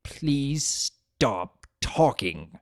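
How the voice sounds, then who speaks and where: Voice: mid-range voice, sing-song, drawn-out words, dry recording, studio quality. A man voicing an imprisoned male spirit of chaos in a story reading, pompous, sarcastic and bitter, with theatrical, seething delivery. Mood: sardonic.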